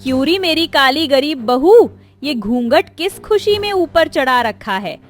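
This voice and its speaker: Scornful middle-aged mother-in-law , female performer, high-pitched, nagging and taunting, for a melodramatic story. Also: animated, unhurried, faint music behind. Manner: sharp-tongued, taunting. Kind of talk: melodramatic Hindi moral story acting.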